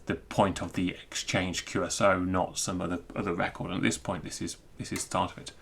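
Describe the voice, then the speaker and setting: A man giving an informal technical screencast walkthrough, thinking aloud in a halting, self-interrupting way. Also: low voice, level tone, vocal fry, fairly bright tone, husky. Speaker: presenter explaining technical material.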